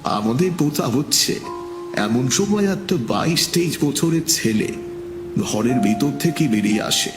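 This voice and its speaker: A man narrating a scripted first-person story in a measured, dramatic storytelling manner. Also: medium pitch, expressive, music in the background.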